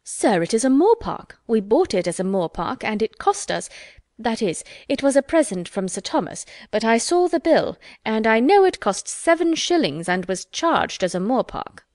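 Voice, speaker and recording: A woman voicing an officious, self-important older widow who talks insistently and loudly. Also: fairly high pitch, very animated, moderate pace, no room sound, clean studio sound. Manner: insistent, loud, fussy.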